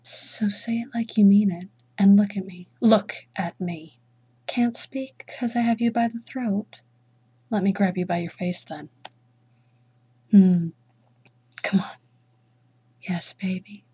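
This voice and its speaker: Slow, breathy, whispering woman. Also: medium pitch, fairly even intonation, mellow, highs cut off. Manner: commanding. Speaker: erotic audio roleplay performer. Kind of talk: dominant mistress roleplay.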